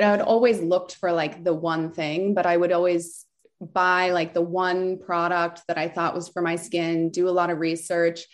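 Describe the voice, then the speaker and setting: A woman from Southern California, a beauty store founder, telling her story in a chatty, rambling way with many fillers and restarts. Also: on the low side. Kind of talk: casual interview storytelling.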